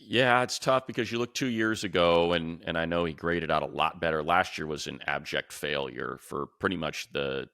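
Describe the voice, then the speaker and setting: A man's voice: low voice, moderate pace, creaky, few pauses, a bit bright, clear, resonant tone, no echo, clean studio sound. A man hosting a sports talk show, casually analysing in an emphatic, conversational way and repeating points for stress.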